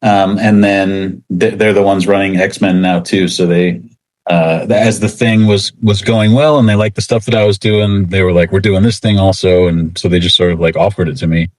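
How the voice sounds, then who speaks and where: A man chatting casually as an interview guest, talking about his work in a halting, laid-back way. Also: deep voice, steady tone. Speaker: interview guest, comic book writer.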